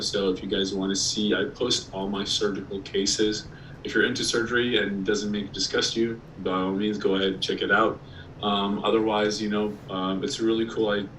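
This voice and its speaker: A man from Iraq, a periodontist giving a casual presentation over a video call, slightly hoarse and talking with many ums and restarts. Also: lowish voice, a bit bright. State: slightly hoarse, clearing throat. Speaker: periodontist guest speaker. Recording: distant mic with faint background noise.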